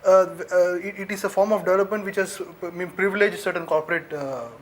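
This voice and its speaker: A man's voice: sits high, steady tone, fast talker, crowd noise. A man giving analysis on a live TV panel, hesitant and full of fillers.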